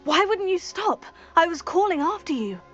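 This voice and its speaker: Young student witch, game cutscene, earnest, high voice, slightly dull audio. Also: sing-song, moderate pace, a bit bright, faint music behind, heavily acted. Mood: reproachful. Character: concerned, questioning young student witch heroine. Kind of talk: dramatic video game acting.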